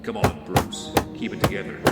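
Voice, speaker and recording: An actor playing a grim, self-critical vigilante in an audio drama, terse and muttering to himself. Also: male voice, moderate pace, close to an everyday voice. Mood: straining, urgent. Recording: roomy echo under loud music.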